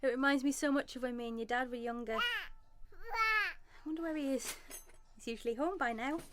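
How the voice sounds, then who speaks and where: A woman's voice: high voice, normal speed, natural voice. A woman playing an anxious, loving young wife and mother in a radio drama. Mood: tender. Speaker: actress and narrator in a radio drama. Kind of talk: radio drama acting, dramatic first-person narration.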